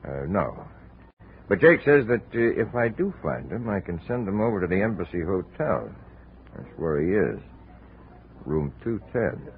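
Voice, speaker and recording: An actor playing a streetwise, wisecracking American bartender in a 1950s radio detective drama, slangy and evasive. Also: male voice, low voice, big pitch swings, unhurried, a little hiss or hum, exaggerated voice. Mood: cagey. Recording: muffled, narrow-band old radio recording.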